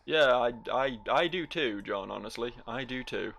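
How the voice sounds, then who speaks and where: A man's voice: mid-range voice, normal speed, vocal fry, a bit bright, a little hiss or hum. A man giving casual, joking and sarcastic commentary as he plays a video game. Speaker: gaming video host.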